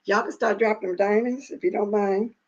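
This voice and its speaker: A middle-aged woman with a raspy voice hosting a casual livestream, talking bluntly and straight to her chat. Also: mid-range voice, fairly even intonation, a little fast, a little mellow. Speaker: livestream host. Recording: dull lo-fi livestream audio.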